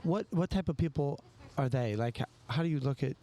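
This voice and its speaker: A man hosting a casual interview, speaking in a halting, rambling way and often restarting his thoughts mid-sentence. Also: mid-range voice, warm tone. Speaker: podcast host.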